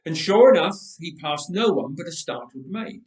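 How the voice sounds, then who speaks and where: A man reading a dramatic story aloud with measured, emphatic delivery and dramatic pauses. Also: a little high, animated, a little mellow. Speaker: storyteller, reader. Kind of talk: dramatic scripted story narration. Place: roomy church interior. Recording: echoey church, distant microphone.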